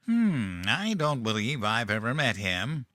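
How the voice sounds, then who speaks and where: Man playing a bright, pompous, oblivious President. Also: medium pitch, very animated, measured pace, no echo, clean studio sound. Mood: puzzled. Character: cheerfully oblivious, dim, pompous male US President. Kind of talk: comedic audio drama acting.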